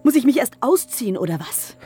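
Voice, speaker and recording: An actress playing a shrewd, flirtatious wife with a sardonic, challenging manner in a radio crime drama. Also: female voice, fairly high pitch, big pitch swings, fairly quick, warm tone, husky, soft background music, full character voice. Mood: indignant, challenging. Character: shrewd, flirtatious, scheming wife, sharp-tongued when crossed.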